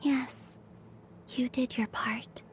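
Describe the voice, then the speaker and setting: A woman playing a serene, ethereal young moon maiden in a video game, speaking softly and solemnly in a breathy near-whisper. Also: sits high, fairly even intonation. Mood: solemn, gentle. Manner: slow, solemn, formal. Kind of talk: dramatic video game voice acting.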